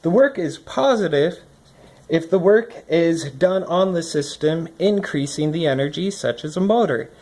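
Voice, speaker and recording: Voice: mid-range voice, animated, unhurried, a little mellow. A person reading a scripted lesson aloud, explaining it with think-aloud asides, recorded indoors on a phone at a desk. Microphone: phone recording from above the desk.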